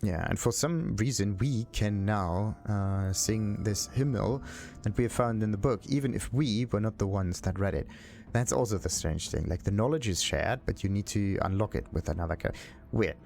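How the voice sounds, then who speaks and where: A man's voice: lowish voice, moderate pace, gravelly, a little mellow. A man giving casual, rambling video game commentary as a let's play host, thinking aloud to himself.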